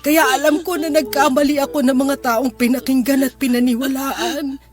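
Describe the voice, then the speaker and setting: Casting: woman as tender, apologetic mother for radio drama, high emotional voice over clearly audible music. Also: steady tone, moderate pace, close to an everyday voice. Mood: apologetic, loving. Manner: soothing, emotional. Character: loving, apologetic mother of a grown daughter, emotional. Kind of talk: Filipino radio drama acting. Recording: clear music bed behind the voice.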